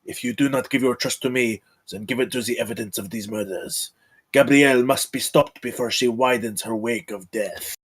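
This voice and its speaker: A man playing a grave, courtly count in a screenplay table read, speaking formally and with measured solemnity. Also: mid-range voice, breathy edge, close to an everyday voice. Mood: stern. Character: commanding, grave, courtly count leading a supernatural hunt.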